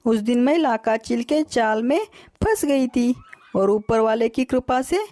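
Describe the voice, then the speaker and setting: A woman's voice: fairly high pitch, lively intonation, unhurried, mellow, dry recording. A woman voicing an earnest, friendly little female bird in a children's cartoon story. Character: trusting little female sparrow, friendly but determined.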